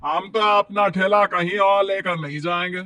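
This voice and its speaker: A man's voice: high-pitched, steady tone, normal speed, bright, forceful tone, no room sound. A man voicing a stubborn, grumbling street cart vendor in a cartoon, with a defiant manner.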